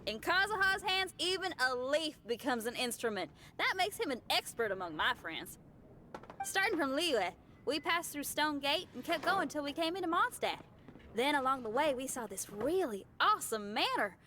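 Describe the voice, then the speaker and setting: A woman's voice: high-pitched, sing-song, moderate pace, bright, forceful tone, slight background noise, full character voice. A woman voicing a brash, cheerful young female rock musician in a game, with folksy, slangy speech. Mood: upbeat. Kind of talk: game character voice acting.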